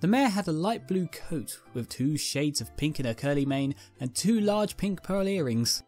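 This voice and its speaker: Very low-voiced woman reading a story. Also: expressive, normal speed, a little mellow, soft background music. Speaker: narrator, fan fiction reader. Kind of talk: scripted story narration.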